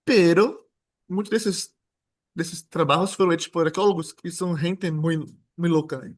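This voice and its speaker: Brazilian guy giving a seminar, kinda jokey, keeps restarting words, slightly high voice. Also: fairly even intonation. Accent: Brazilian Portuguese. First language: Portuguese. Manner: informal, joking, halting with restarts. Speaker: guest lecturer. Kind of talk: academic seminar talk.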